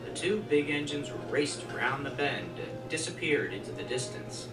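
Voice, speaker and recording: Male narrator for a train tale, lively storybook delivery, echoey playback over prominent music. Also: fairly high pitch, normal speed. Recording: roomy, distant playback under loud music.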